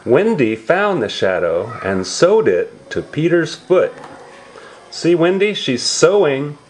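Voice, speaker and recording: A man reading a picture book aloud to young children, explaining it in simple, repeated, drawn-out words. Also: a little high, very animated, slight background noise, poor audio. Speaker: storybook reader for young relatives.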